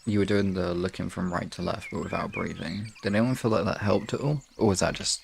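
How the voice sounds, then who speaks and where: Low, gravelly-voiced man chatting on livestream. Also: fairly even intonation, brisk, a little hiss or hum. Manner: rambling, informal, talking to viewers. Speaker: livestream host. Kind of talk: casual livestream chat.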